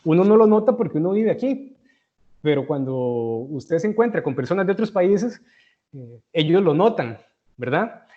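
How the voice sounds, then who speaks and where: A man's voice: fairly high pitch, lively intonation, moderate pace, warm tone. Costa Rican man teaching, muffled audio. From Costa Rica. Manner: explanatory, asks "¿verdad?" often, gives everyday examples. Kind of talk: online tutoring lesson.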